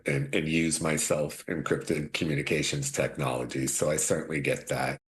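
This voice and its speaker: A man, a privacy advocate, giving a thoughtful, measured interview soundbite. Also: rumbling low, little pitch movement.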